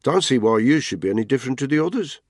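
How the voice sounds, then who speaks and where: A man's voice: medium pitch, lively intonation, fast talker, no room sound, clean studio sound, pitched above the performer's own voice, natural voice. A man doing a brash, needling soldier. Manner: mocking, provoking. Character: brash, needling male soldier, middle-aged.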